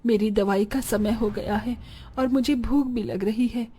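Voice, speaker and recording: A woman playing tender, sorrowful women of a poor family in an animated moral story. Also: a little high, measured pace, husky. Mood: caring. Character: poor, caring mother or dutiful daughter, sorrowful.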